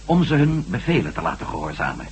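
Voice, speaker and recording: An actor playing a bold, earnest ex-astronaut adventurer in a Dutch science-fiction radio drama. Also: male voice, sits low, wildly swooping pitch, fast, darkish tone, exaggerated voice. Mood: urgent, grave. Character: bold male ex-astronaut reporter turned adventurer. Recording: noisy, humming old radio recording.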